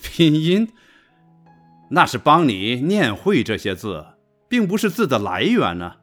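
A man's voice: a little high, big pitch swings, measured pace, a bit bright, soft background music, exaggerated voice. A man playing an insistent, instructive father of a young girl in a radio drama.

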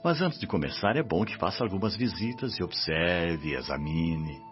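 Radio drama minister: paternal, wise authority, very animated intonation, measured delivery, muffled recording with music. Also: male voice, low voice. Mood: wise, solemn. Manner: paternal, welcoming, measured and sententious. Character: benevolent, wise government minister of the spirit world.

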